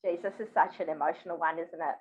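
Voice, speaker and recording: A woman's voice: lowish voice, steady tone, fast talker, muffled recording. A woman in her fifties with a New Zealand accent, chatting expressively and telling stories casually as an interview guest. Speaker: podcast guest.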